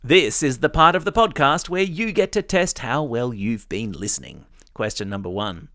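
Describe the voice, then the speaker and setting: A man's voice: mid-range voice, animated, moderate pace, a bit bright, no room sound, studio quality. An Australian man hosting a news podcast for children, reading scripted stories in a chatty, playful way and speaking straight to young listeners. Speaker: podcast host.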